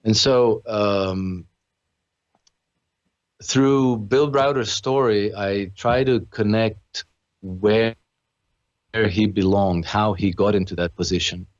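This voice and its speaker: A man's voice: on the low side, steady tone, takes it slowly, long pauses, dry recording, highs cut off. A man from Croatia, a podcast guest who trades and writes, talking earnestly in a conversational interview with frequent restarts and fillers.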